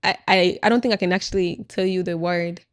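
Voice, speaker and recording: A woman's voice: lowish voice, fairly even intonation, brisk, no room sound. Woman chatting casually, close dry sound. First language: Swahili. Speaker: podcast guest, small business owner. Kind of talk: casual conversational chat.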